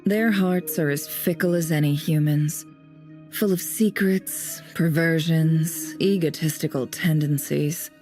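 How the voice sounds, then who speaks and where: An actress voicing a cool, cerebral woman investigator in a video game, composed, deliberate and formal as she tells things. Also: low-pitched, dark tone, faint music behind. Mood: grave, measured. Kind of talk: scripted game voice acting, measured storytelling.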